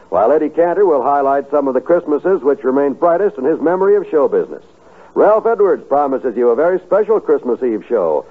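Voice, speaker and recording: A man with an American accent reading scripted announcements in a polished, emphatic 1950s radio style, on a muffled old broadcast. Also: a little high.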